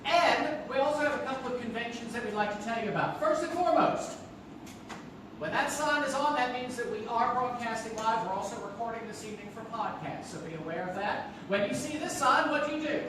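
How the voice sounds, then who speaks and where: A woman's voice: fairly high pitch, expressive, fairly quick. A woman hosting a live radio theater show, making welcoming stage announcements to an audience, recorded at a distance in a roomy, noisy theater.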